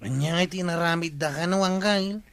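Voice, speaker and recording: Village watchman, slightly high voice, Ilocano accent, earnest and easygoing radio-drama delivery. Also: normal speed, gravelly, a bit bright, smooth, dry recording.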